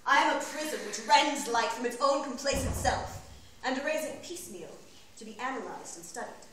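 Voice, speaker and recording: A woman playing a proud, cold, dryly witty young seer in a theatrical stage monologue, speaking in deliberate, formal phrases. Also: high voice, expressive, a bit bright. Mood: haughty, poetic. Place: live on stage, filmed from front row. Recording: echoey hall from far off, faint noise.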